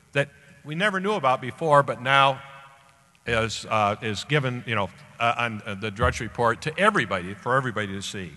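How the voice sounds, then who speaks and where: An older American man, around seventy, delivering a formal scripted commencement address at a podium microphone in deliberate, emphatic phrases. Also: medium pitch, pushed and bright. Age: older, about 70. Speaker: guest speaker at a graduation ceremony. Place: large indoor auditorium stage at a podium. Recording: echoey hall public-address sound.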